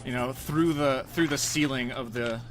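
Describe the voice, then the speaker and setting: A man's voice: mid-range voice, moderate pace, soft background music. A man giving casual, tongue-in-cheek live commentary as he speedruns a video game. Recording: clear background noise from game sounds. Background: game sounds and clicks.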